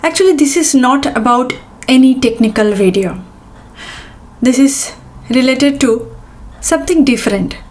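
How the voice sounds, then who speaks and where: Woman host, Bengali-accented English, raised pitch, halting delivery with fillers. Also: darkish tone. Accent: Bengali-influenced English. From India. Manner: hesitant, many fillers and restarts. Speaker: podcast host. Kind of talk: casual monologue.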